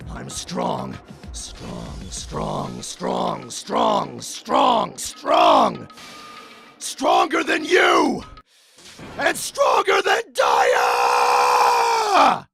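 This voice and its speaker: A man's voice: mid-range voice, huge pitch swings, vocal fry, exaggerated voice. Man acting a volatile, anguished young tough guy. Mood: enraged. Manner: intense, halting, swinging from hushed to yelling. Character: tough, hot-tempered young man confessing with guilty anguish. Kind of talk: dramatic anime-style fandub acting. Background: faint music behind the voice.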